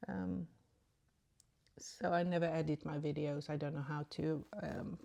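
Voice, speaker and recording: Low, gravelly woman chatting makeup. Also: fairly even intonation, a little slow, mellow. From Sweden. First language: Swedish. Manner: rambling and chatty, many ums. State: sleepy in the early morning. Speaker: beauty vlogger. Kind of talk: casual makeup tutorial chat.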